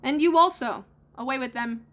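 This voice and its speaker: A woman voicing a bossy boy who leads a gang of children, loud and commanding in a storybook reading. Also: sits high, moderate pace. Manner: commanding, declarative. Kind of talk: storybook read-aloud character voice.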